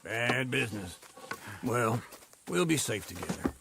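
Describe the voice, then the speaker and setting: Creaky old ranch layabout. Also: male voice, medium pitch, animated, measured pace, noisy background. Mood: resigned. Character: lazy, shifty old male ranch hand. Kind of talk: video game dramatic acting.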